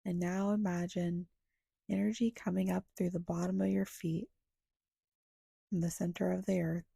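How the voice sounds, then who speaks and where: A woman guiding a meditation in a soft, breathy, hushed and soothing voice, leaving long pauses between short phrases. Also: fairly low pitch, little pitch movement, dry recording, studio quality. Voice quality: soft, breathy, often slipping toward a whisper. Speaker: guided meditation guide. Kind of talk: guided meditation narration.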